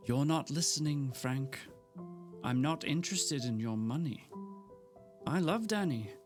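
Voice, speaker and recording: A man voicing a young woman in love who pleads and bristles with indignation, pitching his voice up high. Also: expressive, unhurried, music in the background. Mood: indignant.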